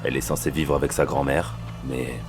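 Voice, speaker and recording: Man acting a very deep-voiced, measured police boss. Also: little pitch movement, vocal fry. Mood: grave, confiding. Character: paternal male police boss briefing his agent. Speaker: police superior. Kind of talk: French audio drama thriller acting. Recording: background music under the voice.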